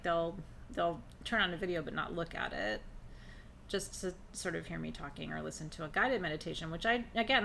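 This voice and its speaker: A middle-aged woman with a low voice that has a gravelly fry teaches a class on spiritual practice. She talks conversationally, slowing and quickening her words on purpose, with a bright edge to her tone. A faint hiss sits under her voice. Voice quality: naturally low voice. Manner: conversational, intentional pacing, laughs often. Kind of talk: live online class on shamanic drumming.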